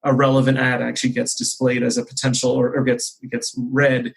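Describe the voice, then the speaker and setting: A man speaking as a podcast guest in a conversational business discussion, explaining ideas in measured, hesitant phrasing. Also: medium pitch, completely flat delivery, vocal fry, slightly rough. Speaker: podcast guest, marketing executive.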